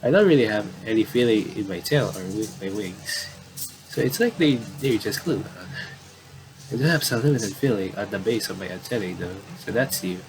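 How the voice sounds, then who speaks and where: A man's voice: lowish voice, animated, normal speed, noisy background. A man doing casual game commentary, reading visual novel dialogue aloud and often stumbling and repeating phrases.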